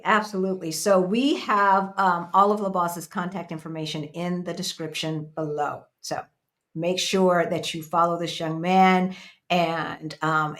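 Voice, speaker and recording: A woman's voice: fairly low pitch, a bit bright. A woman co-hosting an interview, talking in a chatty, upbeat way with playful asides. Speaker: co-host. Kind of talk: casual interview chat.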